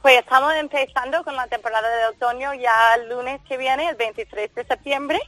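A woman's voice: high voice, steady tone, normal speed, fairly bright tone, no room sound, rough recording. A woman from Washington with an American accent, a native English speaker, answering radio interview questions with enthusiasm. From Washington, D.C., United States. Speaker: guest, football school founder.

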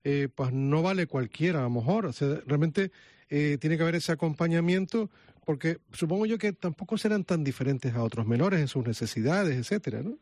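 A man's voice: on the high side, animated, fairly quick, darkish tone, no room sound. Man, radio host, friendly, close dry studio sound.

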